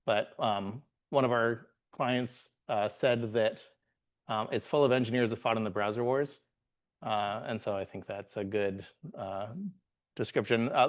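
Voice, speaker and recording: A man in his forties, from the Bahamas, giving a live tech meetup talk as a guest presenter, relaxed and halting with frequent "uh"s and restarts. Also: on the low side. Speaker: guest presenter, software engineer.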